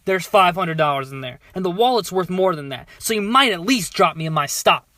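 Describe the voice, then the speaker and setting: A man's voice: high-pitched, lively intonation, fairly quick, bright, forceful tone, no echo, studio quality. A man playing a haughty, irritated wealthy passenger in an amateur audio drama, curt and snippy.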